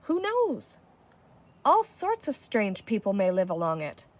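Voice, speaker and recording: A woman's voice: medium pitch, wildly swooping pitch, a little slow. A woman voicing a sensible young girl in a storybook, eager and coaxing, firm but kind. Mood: eager, persuasive.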